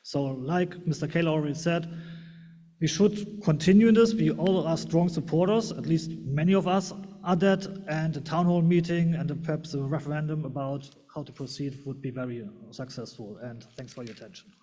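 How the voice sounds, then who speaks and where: German guy, a bit high, earnest formal public comment, echoey hall. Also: moderate pace, warm tone. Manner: earnest, formal, slightly non-native phrasing. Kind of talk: public comment at a board meeting. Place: large meeting hall. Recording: long hall reverb.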